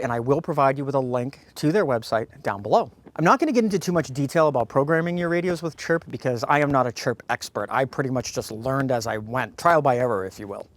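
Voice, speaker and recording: A man presenting an informal product review, addressing viewers directly in a folksy way as a host. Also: medium pitch. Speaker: host of a radio gear review channel.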